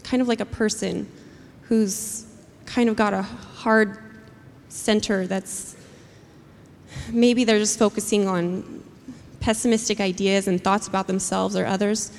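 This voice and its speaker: A woman's voice: medium pitch, fairly even intonation, a little slow, gravelly, slight background noise. A woman sharing an earnest church reflection, hesitant and searching for her words. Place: church hall. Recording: long hall reverb.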